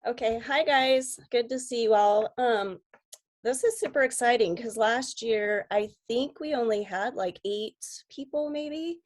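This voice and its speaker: A woman with a slightly raspy voice from a cold, talking casually and earnestly as a coach on a video call. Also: fairly high pitch, a little slow. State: sick with a cold. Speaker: guest coach.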